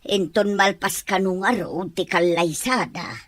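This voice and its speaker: A woman's voice: mid-range voice, a little slow, dry recording, lightly acted. A woman playing a worldly, dismissive household helper in an Ilocano radio drama.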